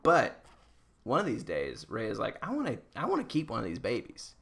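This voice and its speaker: A man's voice: mid-range voice, huge pitch swings, fairly quick, gravelly, fairly bright tone. A man hosting a podcast, casually retelling myths in a joking, irreverent and slangy way. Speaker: podcast host.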